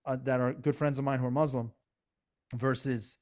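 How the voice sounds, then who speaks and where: A man's voice: mid-range voice, steady tone, normal speed, darkish tone. A man with a Persian accent, co-hosting a podcast in casual, earnest talk full of restarts and asides. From Iran. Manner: earnest, rambling, many restarts. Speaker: podcast co-host. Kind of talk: casual political chat.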